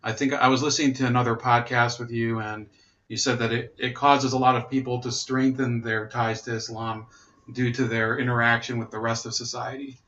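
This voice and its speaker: Flat-toned, bright-voiced male interviewer. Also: mid-range voice, fairly quick, lo-fi sound. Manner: asks long rambling questions. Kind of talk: conversational interview.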